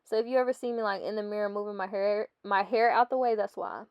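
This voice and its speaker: A young woman talks in a loose, chatty voice-over, her nose audibly stuffed up. Her voice stays smooth and clear despite the congestion. It is recorded dry and close, with a slightly dull, muffled top end. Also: medium pitch, fairly even intonation, fast talker, no room sound. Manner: chatty, laughing, talks to viewers as "guys". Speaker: fitness vlogger. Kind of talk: casual voice-over chat.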